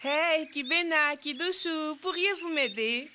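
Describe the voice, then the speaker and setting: A woman's voice: high voice, slow pace, noisy background. A woman voicing a self-important male animal, a would-be modern businessman, in a children's educational cartoon. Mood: calling. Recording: music under the dialogue.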